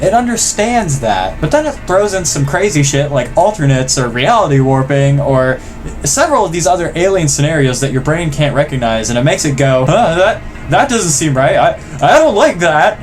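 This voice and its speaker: A man hosting casual reaction commentary, joking and self-deprecating, with loose, sweary phrasing. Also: on the high side. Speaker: video host.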